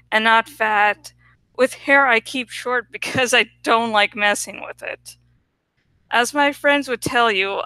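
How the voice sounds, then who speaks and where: A woman narrates a story in a very bright, crisp voice. Her pitch sits a little high and she takes her time, pacing it slowly. The sound is close and dry, like an audiobook read. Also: dry recording, low-quality recording. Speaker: audiobook reader. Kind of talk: expressive first-person story narration.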